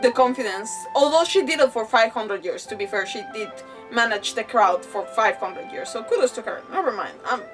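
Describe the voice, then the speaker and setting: A woman live streamer giving casual, animated commentary on a game, thinking aloud in a giggly, chatty way. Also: on the high side, brisk. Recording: slightly distant mic, faint game music. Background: faint game music.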